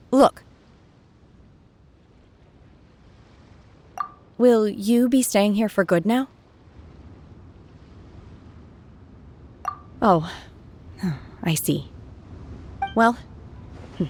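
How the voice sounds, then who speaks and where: An actress playing a gentle, reserved young woman unused to company in an anime game, soft and hesitant. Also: fairly high pitch, slow, long pauses, slight background noise. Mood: shy, tender.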